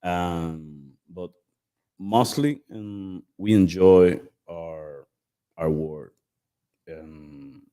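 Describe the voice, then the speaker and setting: Slow, deep-voiced Dominican man. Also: dark tone, clean tone. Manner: hesitant, halting. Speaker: cigar shop manager. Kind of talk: casual self-introduction.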